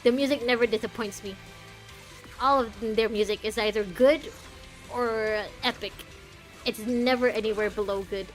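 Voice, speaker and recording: Woman, fairly high voice, game streamer, casual reactions, addresses characters directly, light music underneath. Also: steady tone, normal speed, poor audio. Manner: talks back to the characters. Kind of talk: casual playthrough commentary reacting to story.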